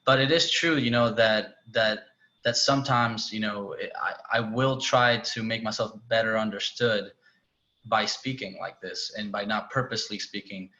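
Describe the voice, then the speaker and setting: A man from Spain with a Spanish accent speaks on a video call that sounds dull and lo-fi. His voice sits low but carries a bright, forceful edge. He talks in halting bursts, often restarting and filling gaps with "you know.". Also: fairly even intonation. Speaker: panelist. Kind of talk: reflective town hall discussion.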